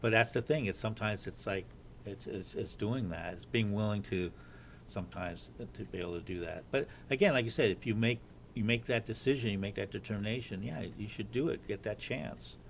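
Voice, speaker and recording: A man in his fifties chats on a home live stream in a fairly low voice, halting with lots of ums and restarts. He teases playfully, with a faint rumble under the webcam audio. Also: creaky. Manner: hesitant, many fillers and restarts, teasing. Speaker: co-host of a couple's live chat. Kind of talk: casual live-stream chat. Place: small room at home, indoors.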